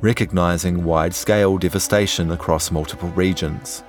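A man reading scripted documentary narration in a measured, serious storytelling manner. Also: deep voice, fairly even intonation, faint music behind. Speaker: journalist narrator.

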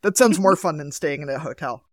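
A man's voice: a little high, expressive, brisk, dry recording, clean studio sound. A man co-hosting a podcast in casual chat, joking and talkative. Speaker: podcast co-host.

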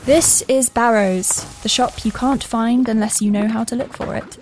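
An actress playing a wry, chatty shop worker who confides in the listener in an audio fantasy drama. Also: female voice, fairly high pitch, fairly even intonation, normal speed, darkish tone, slight background noise, close to an everyday voice. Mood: playful, enthusiastic. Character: wry, chatty young woman working in a magic shop. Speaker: narrating lead character of an audio fiction series. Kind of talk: intimate conversational first-person dramatic narration.

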